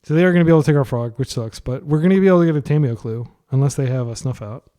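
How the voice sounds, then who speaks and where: Chatty man with a mellow voice. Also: mid-range voice, rapid-fire. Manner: chatty, thinking aloud with fillers. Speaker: gaming commentator. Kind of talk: casual gameplay commentary.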